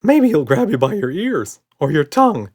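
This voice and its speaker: Frantic, high-pitched little monster. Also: male voice, sing-song, moderate pace, a little mellow, husky, exaggerated voice. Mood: panicked wailing. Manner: panicky, gasping and wailing. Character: small, terrified, frantic monster with googly eyes. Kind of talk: children's audiobook character.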